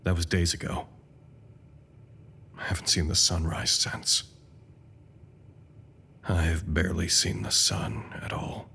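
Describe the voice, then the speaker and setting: An actor playing a weary, introspective survivor, delivering a measured, literary monologue in an audio drama. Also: male voice, low voice, steady tone, gravelly, pushed and bright, slight background noise, exaggerated voice. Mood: quiet, awed. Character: weary, reflective male survivor recording his thoughts. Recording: music under the voice. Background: music.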